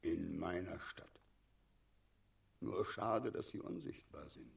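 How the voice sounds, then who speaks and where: Gravelly, smug dragon tyrant. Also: male voice, sits low, takes it slowly, recorded from a distance, full character voice. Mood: smug, menacing. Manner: mock-genial, commanding. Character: tyrannical dragon ruler, smug, cruel, sly. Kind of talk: radio drama acting.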